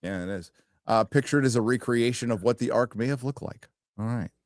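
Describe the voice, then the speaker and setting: A man co-hosting a casual podcast, reading articles aloud slowly and joking with the others. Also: mid-range voice, dry recording, clean studio sound. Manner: reads aloud slowly, jokes and trails off. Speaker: co-host.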